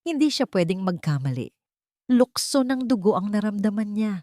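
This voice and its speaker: A woman narrating a romantic audio drama in a dramatic, expressive storytelling manner. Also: medium pitch, moderate pace, dry recording, clean studio sound.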